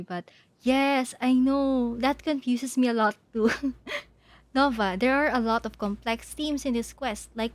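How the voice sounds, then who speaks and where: High-voiced woman streaming a game, close and dry. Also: no echo. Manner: reactive, giggly. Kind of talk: casual live commentary while playing a story game.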